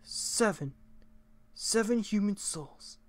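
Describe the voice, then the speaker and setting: A man's voice: high voice, animated, slow pace. A man voicing a fierce, proud female fish warrior from a video game, with a dramatic, menacing delivery. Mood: menacing, solemn. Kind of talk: character voice acting of video game dialogue.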